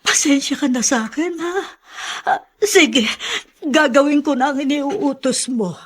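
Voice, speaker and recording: A high-voiced, flustered old Filipino grandmother. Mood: flustered, apologetic. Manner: flustered, halting, pleading. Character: anxious, devoted, poor grandmother working in an eatery. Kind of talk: Filipino radio drama acting.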